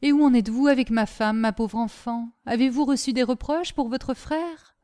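Woman reading as a kindly, fatherly gentleman. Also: a little high, normal speed, voice pushed up, natural voice. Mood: sympathetic. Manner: paternal, kind, concerned. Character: kindly gentleman master of the house, fatherly, concerned. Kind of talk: audiobook character acting.

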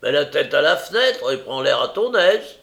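A man voicing a brutal, bullying brigand in a big gruff voice, barking threats and oaths in a story reading. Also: medium pitch, big pitch swings, normal speed, a bit bright. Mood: irritated, domineering.

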